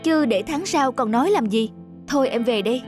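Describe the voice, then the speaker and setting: A woman voicing a sensitive, expressive young woman, an emotional girlfriend in a cartoon. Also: fairly high pitch, a little fast, faint music behind, natural voice. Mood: hurt. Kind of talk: cartoon dubbing.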